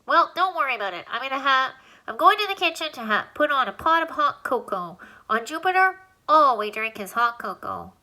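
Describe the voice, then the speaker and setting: A woman voicing a tiny, chatty male alien with a high, squeaky voice and a wisecracking manner. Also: sing-song, bright, forceful tone, heavily acted. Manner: fast-talking, wisecracking.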